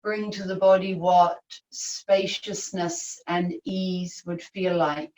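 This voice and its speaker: A woman teaching yoga nidra, guiding a meditation in a soothing, unhurried manner with long pauses. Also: fairly low pitch, level tone, darkish tone. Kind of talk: guided meditation instruction. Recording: echoey room, distant microphone.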